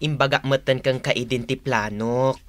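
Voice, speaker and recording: An actress with an Ilocano accent playing a coaxing younger sister in a radio drama. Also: female voice, rumbling low, steady tone, normal speed, no room sound. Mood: coaxing, matter-of-fact. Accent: Filipino, Ilocano. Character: coaxing younger sister leaving for city work.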